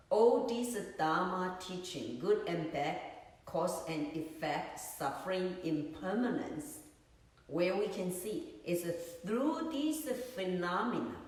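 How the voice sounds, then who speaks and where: Woman, Buddhist teacher, dharma talk, slow, searching for words, phone on tripod, distant mic, long reverb. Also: fairly low pitch. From Taiwan. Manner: unhurried, searching for words, many "you know"s. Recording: echoey room, far from mic.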